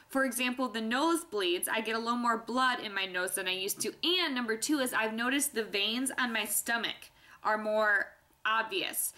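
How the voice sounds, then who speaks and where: A woman's voice: a little high, pushed and bright. A young woman chatting candidly to her viewers in a casual vlog, a little stuffy-nosed. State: stuffy nose. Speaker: vlogger. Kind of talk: casual pregnancy vlog chat.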